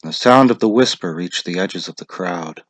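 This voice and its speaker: A man's voice: deep voice, moderate pace, dry recording. A man narrating a short story as a scripted dramatic reading.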